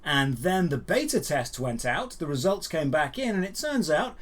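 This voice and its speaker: A man's voice: on the high side, big pitch swings, normal speed. A man hosting a gaming and geek news show, giving dry, opinionated commentary with emphatic phrasing and rhetorical questions.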